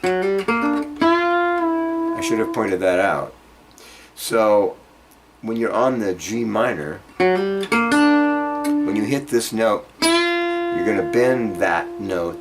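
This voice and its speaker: A man giving an informal guitar lesson, explaining haltingly and checking in with the listener as he demonstrates phrases. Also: fairly low pitch, wildly swooping pitch, poor audio. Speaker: guitar teacher. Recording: loud acoustic guitar alongside the voice. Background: guitar being played between phrases.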